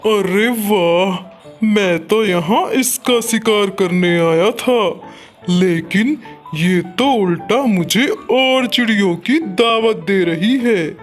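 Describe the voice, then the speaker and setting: A scheming male kite from a children's cartoon, acted by a woman, greedy and falsely kind. The delivery is slow and measured at a middle pitch, as if plotting each step. Light music plays underneath. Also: lightly acted. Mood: sly, greedy. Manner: sly, muttering plans to itself. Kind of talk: Hindi cartoon character acting.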